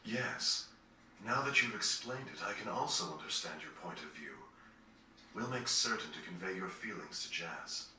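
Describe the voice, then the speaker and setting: A man acting a refined, serious gentleman in a video game, formal, polite and pointed. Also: deep voice, expressive, normal speed, noisy background. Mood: calm, accepting. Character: refined, serious gentleman, coolly probing and courteous. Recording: echoey, distant, over faint game music.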